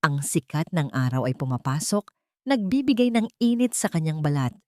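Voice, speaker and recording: Female narrator for scripted drama, lower register, very little room sound. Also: very animated, moderate pace, dark tone, dry recording, studio quality.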